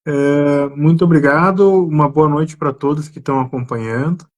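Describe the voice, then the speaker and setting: A man's voice: on the high side, fairly even intonation, normal speed, a little mellow. A man from southern Brazil with a gaúcho accent, a psychiatrist guest explaining his work earnestly over a video call, with hesitant restarts. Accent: Brazilian gaúcho Portuguese. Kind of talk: conversational explanation on a live stream.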